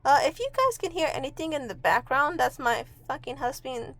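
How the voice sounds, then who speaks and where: High-voiced woman, rambling casually. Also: lively intonation, fairly quick, a bit bright. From Mexico. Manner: offhand, self-deprecating, sweary. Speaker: video artist and host. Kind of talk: casual rambling voice-over commentary. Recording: amateur home recording with music underneath. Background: music.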